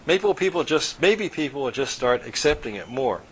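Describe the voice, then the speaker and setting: A man's voice: medium pitch, sing-song, moderate pace, husky, low-quality recording. A man voicing a chatty husband who jokes and gossips in a breezy, easygoing way. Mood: gossipy. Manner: breezy, gossiping, forced chuckles.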